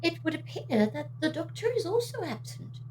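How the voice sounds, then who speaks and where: A woman playing a suspicious, solemn councillor in an audio drama, formal and deliberate. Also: very high-pitched, big pitch swings. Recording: faint hum behind.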